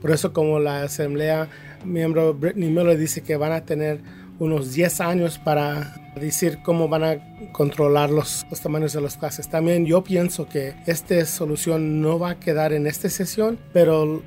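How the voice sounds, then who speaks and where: A man from Kansas with an American accent, a teachers' union representative answering interview questions in halting second-language Spanish, searching for words. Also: a little high, level tone, mellow, faint music behind. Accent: American English. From Kansas, USA. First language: English.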